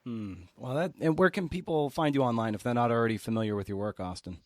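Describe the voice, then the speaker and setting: A man's voice: medium pitch, fast talker, dry recording, clean studio sound. A man hosting a podcast in a casual, chatty way, with informal, slangy wording. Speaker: podcast host.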